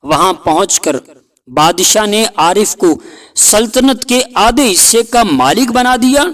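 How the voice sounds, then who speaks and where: A man with a notably high voice narrates a folk tale. He takes it very slowly and deliberately, giving the story a dramatic delivery. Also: expressive, fairly bright tone.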